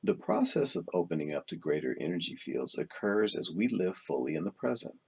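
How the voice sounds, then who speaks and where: A man narrates a self-help audiobook in a very low voice with plenty of creak. The tone is husky and rough rather than clean. He phrases deliberately, pausing between clauses as he reads from the text. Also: very animated. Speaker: audiobook reader. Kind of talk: scripted narration, reading a self-help book aloud.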